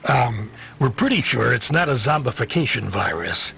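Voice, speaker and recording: A man's voice: medium pitch, big pitch swings, normal speed, gravelly, bright, forceful tone, heavily acted. An actor playing a weary, avuncular dispatcher in a video game, hesitant and folksy as he hedges awkwardly. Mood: awkward, apologetic.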